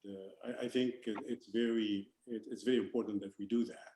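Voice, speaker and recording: A man, introducing himself as a provost on a panel. He talks haltingly, restarting phrases and trailing off quietly. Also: fairly low pitch, steady tone, slight background noise. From Egypt. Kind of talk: webinar self-introduction. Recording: echoey room.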